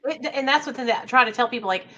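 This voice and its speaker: A woman with an American country accent hosts a casual interview in a chatty, informal way. Her voice sits a little high and sounds bright and forceful. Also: fast, lo-fi sound. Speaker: channel host, interviewer.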